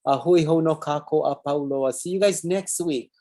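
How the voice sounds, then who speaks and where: A man's voice: fairly high pitch, moderate pace, dark tone. A man with a Hawaiian Pidgin accent hosting a talk show, chatting loosely and reading viewer comments aloud with Hawaiian words mixed in. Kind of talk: casual live-stream sign-off chat.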